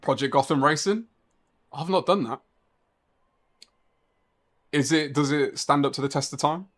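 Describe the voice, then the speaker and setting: A man's voice: fairly high pitch, a little slow. A man in his thirties streaming a racing game live, chatting casually and rambling with a laugh now and then. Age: 30s. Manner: chatty and rambling, laughs often, talks to chat.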